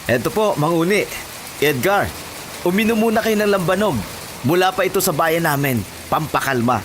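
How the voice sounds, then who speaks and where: A man's voice: fairly high pitch, expressive, moderate pace, voice pushed up, close to an everyday voice. A man playing a coaxing, commanding villager at a burial in a horror story, with rain behind the voice. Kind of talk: horror story character acting. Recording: clear rain and thunder noise behind.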